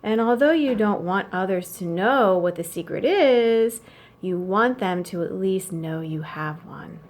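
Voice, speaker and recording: A woman voices a reflective older sister, still a young girl, in a children's storybook. The voice is mid-pitched, with an earnest, reasoning manner. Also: lively intonation, measured pace, natural voice.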